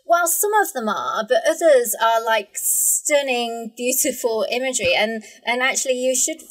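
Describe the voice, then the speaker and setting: A woman's voice: fairly high pitch, moderate pace, low-quality recording. A woman speaking as a guest scientist in a casual, informal discussion.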